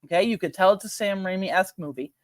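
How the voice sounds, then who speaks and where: A film-ranking host talks casually and bluntly gives opinions. The voice sits at a medium pitch. Points get repeated for emphasis in informal wording, and the sound is dry, with little room echo. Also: quick pace. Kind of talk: casual opinionated movie review commentary.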